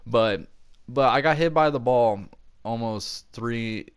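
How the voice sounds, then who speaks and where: A man's voice: mid-range voice, slow pace, clean tone. A young man hosting a casual storytelling vlog, rambling and often interrupting himself mid-thought. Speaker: video channel host.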